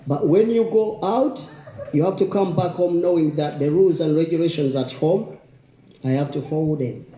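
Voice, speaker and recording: A middle-aged Ugandan man whose first language is Luganda speaks earnestly in public. His voice sits fairly high yet is very soft-edged and dark, with a clearly reverberant, slightly muffled room sound. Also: normal speed, slight background noise. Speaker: community event speaker. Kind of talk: informal public speech. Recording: muffled, echoey room recording.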